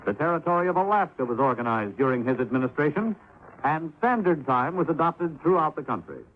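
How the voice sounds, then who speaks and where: Male announcer, animated quizzing read, thin tinny old radio. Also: fairly high pitch, brisk, slight background noise.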